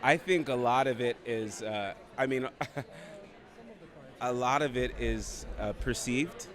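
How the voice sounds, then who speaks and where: An American man, a guest on a red carpet, answering in a thoughtful, measured way with some restarts. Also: medium pitch, animated, fairly bright tone. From United States. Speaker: actor guest. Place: outdoor red carpet event. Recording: outdoor event with music.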